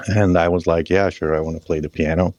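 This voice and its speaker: Deep-voiced guy from croatia in his 30s, rambly and halting, dry close sound. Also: fairly even intonation, a little mellow, no echo, highs cut off. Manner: halting, loose, with restarts and small laughs. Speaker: guest musician. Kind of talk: casual interview chat.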